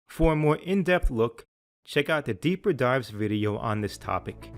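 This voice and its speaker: Man narrating a scripted software tutorial. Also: mid-range voice, lively intonation, moderate pace, faint music behind. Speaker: presenter, applications engineer.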